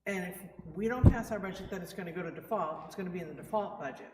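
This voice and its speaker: A woman on the committee makes her point in a forceful, matter-of-fact way. She is off the microphone, so her voice comes from across an echoey hall with a long reverb and clear background noise. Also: on the low side, fast, far from the mic. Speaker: committee member. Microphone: away from the microphone.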